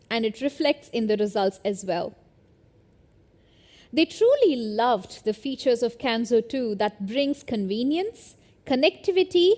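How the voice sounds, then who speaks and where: Female corporate presenter, slightly high-pitched voice, scripted product-launch delivery. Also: a little mellow.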